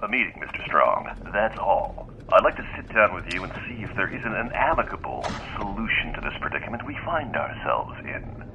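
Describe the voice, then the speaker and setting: Audio drama casting: low-voiced mystery man, formal, coolly threatening, courteous on the surface, slight noise on the call. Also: very animated, highs cut off. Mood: politely insistent. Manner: formal, measured, veiled menace. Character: menacing, smooth-talking male mystery caller, polite but threatening.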